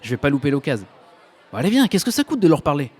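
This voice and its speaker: A man's voice: fairly high pitch, very animated, rapid-fire, a little mellow, husky. A man playing a cocky, reckless young schemer in a French audio drama, breezy, slangy and persuasive. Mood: eager, cocky.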